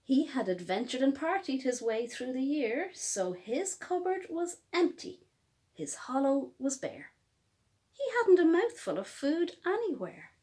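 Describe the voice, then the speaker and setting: A high-voiced woman performs a rhyming children's story. She takes her time, swooping widely in pitch, stretching key words and pausing for dramatic effect. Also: big pitch swings, darkish tone. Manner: dramatic, emphatic, with drawn-out words and pauses. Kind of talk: expressive picture-book storytelling in rhyme.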